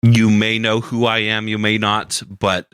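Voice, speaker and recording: A man co-hosting a radio show, chatting casually in an earnest, halting way. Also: on the low side, monotone, fairly bright tone, smooth, no echo, studio quality. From Canada. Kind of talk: casual chat.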